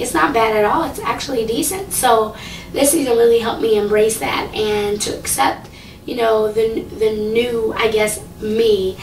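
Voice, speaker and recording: A young woman talking casually to camera about a singing contest. She rambles with lots of fillers, and her voice is low and slightly raspy. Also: distant mic, a little hiss or hum. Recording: echoey room, voice from off the mic.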